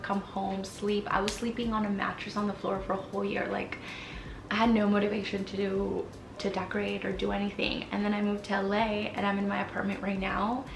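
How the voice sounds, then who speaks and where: A woman's voice: medium pitch, gravelly, roomy sound, recorded from a distance, background noise. A woman in her twenties, born in Mexico with Spanish as her first language, chatting candidly to camera from her apartment, with lots of fillers. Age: 20s. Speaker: vlogger. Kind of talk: casual chat to camera.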